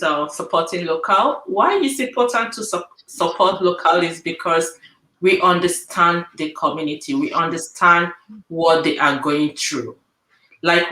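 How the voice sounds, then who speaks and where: A woman's voice: fairly low pitch, pushed and bright. A woman who runs a logistics business, speaking earnestly and persuasively on a panel, repeating her points, over a video call. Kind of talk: casual panel chat. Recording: echoey room on a video call.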